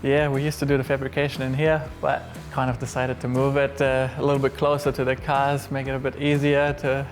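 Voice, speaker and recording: Man, German accent, flat delivery, halting with fillers, faint guitar music behind. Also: mid-range voice. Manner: relaxed, hesitant, many fillers and restarts. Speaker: workshop owner. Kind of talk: casual interview.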